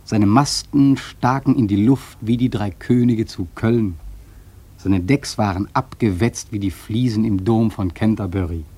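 An actor playing a thoughtful sailor who recounts his voyage in a radio play, measured and earnest. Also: on the low side, warm tone, slightly rough. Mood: solemn, admiring. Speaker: narrator and protagonist. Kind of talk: literary radio play narration.

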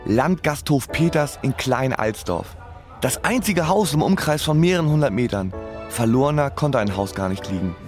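A man's voice: medium pitch, lively intonation, normal speed, a little mellow, soft background music, natural voice. A man playing a wry, resigned musician who narrates his life laconically in a radio play.

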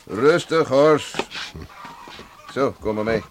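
An actor playing a hearty rural beachcomber farmer who tells tall stories in a folksy, jovial way in a Dutch radio play. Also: male voice, medium pitch, slow, lightly acted. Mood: soothing. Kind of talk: Dutch radio drama acting. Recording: noisy old radio play recording.

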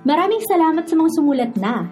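A woman's voice: high voice, very animated, fast. A woman with a Filipino accent hosting a radio drama series and reading scripted narration. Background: background music.

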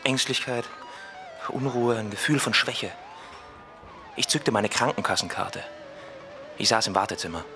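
A man's voice: fairly low pitch, unhurried, long pauses. A man playing an anxious, self-observing patient in a literary radio play monologue. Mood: anxious, reflective. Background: music.

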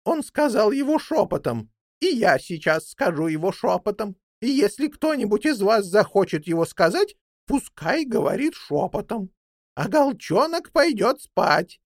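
A woman voicing an old female jackdaw in a children's fairy tale, motherly, bossy and theatrical, with drawn-out emphatic delivery. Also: medium pitch, sing-song, darkish tone, no echo, clean studio sound, voice pushed up. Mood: urgent, solemn.

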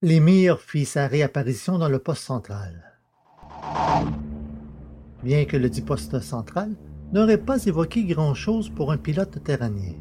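A man's voice: on the high side, very animated, unhurried, pauses often, over music. A man narrating a science-fiction audio story in a scripted, literary storytelling style.

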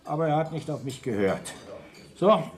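An actor playing an eccentric, self-important small-town doctor with a halting manner in a radio drama. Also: male voice, a little high, expressive, lightly acted. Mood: breezy.

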